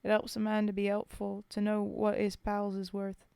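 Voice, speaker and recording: A woman reading verse in the voice of a rough, common male soldier from the First World War who mourns a fallen comrade, speaking in folksy working-class dialect. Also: mid-range voice, level tone, a little slow, dark tone, no echo, close to an everyday voice. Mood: wistful. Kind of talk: poetry reading.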